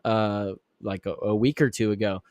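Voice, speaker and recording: A man hosting a live commentary show who sounds raspy and under the weather, rambling with frequent restarts and fillers. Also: fairly even intonation, moderate pace, a little mellow, no echo, lo-fi sound. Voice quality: raspy, deeper than usual. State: sick, clearing throat and coughing. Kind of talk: casual live-stream commentary.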